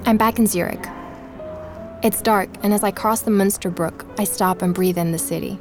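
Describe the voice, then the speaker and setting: A woman's voice: mid-range voice, fairly even intonation, moderate pace, lightly acted. Actress as inquisitive woman investigator, polite, natural audio drama delivery, faint music behind. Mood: reflective, suspenseful. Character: Emma, curious, polite woman investigator narrating her audio documentary. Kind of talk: audio drama, intimate narration and natural dialogue.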